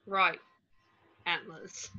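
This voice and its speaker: A woman's voice: on the low side, steady tone, extremely slow, bright, forceful tone, full character voice. A woman reading the part of an awkward, defensive young man at a table read, hesitant in manner. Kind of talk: amateur screenplay table read. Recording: dull video-call audio.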